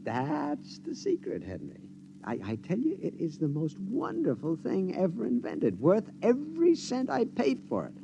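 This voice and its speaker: Giddy henpecked husband. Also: male voice, high voice, measured pace, gravelly, noisy background, exaggerated voice. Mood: gleeful. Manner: excitable, stammering, giggling. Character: henpecked husband, gleeful schemer, male, middle-aged. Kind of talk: 1950s radio drama acting. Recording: lo-fi 1950s radio broadcast.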